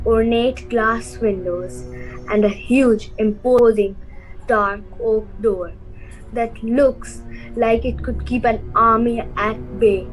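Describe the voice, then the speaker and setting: Slow-paced woman narrating a radio play. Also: on the high side, poor audio.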